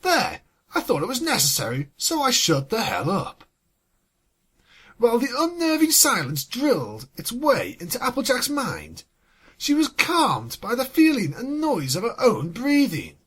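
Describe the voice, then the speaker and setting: A story reader with a husky voice, sardonic and exasperated, swinging between narration and sweary asides. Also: mid-range voice, wildly swooping pitch, a little slow, fairly bright tone. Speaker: fan fiction reader and commentator. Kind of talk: scripted story reading with sarcastic asides.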